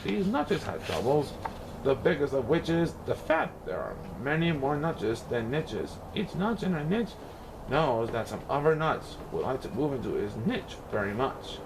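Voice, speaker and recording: Male storybook reader, playful and theatrical, very lively pitch, faint noise in the recording. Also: unhurried. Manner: theatrical, playful rhyming delivery with exaggerated emphasis. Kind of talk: expressive children's picture-book read-aloud.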